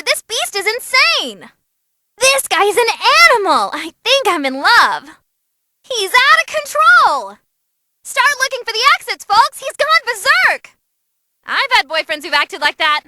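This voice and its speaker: Squeaky, excitable young demon girl announcer. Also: no echo, exaggerated voice. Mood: excited. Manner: bubbly, cheeky, dramatic. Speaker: fight commentator. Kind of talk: animated fight commentary.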